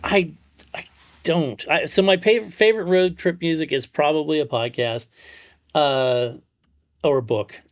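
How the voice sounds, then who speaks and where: A man's voice: a little high, a little slow, dry recording, clean studio sound. A middle-aged man chatting casually as a podcast co-host, often repeating and restarting his phrases. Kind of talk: casual chat.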